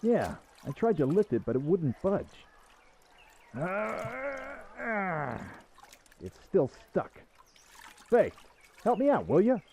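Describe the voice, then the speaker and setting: A man's voice: high-pitched, big pitch swings, takes it slowly, creaky, long pauses, a little mellow, a little hiss or hum, full character voice. A man playing brash young prince, wildly swooping delivery. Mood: straining, eager. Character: brash, eager young runaway prince, bold and cheeky. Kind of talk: radio-play acting.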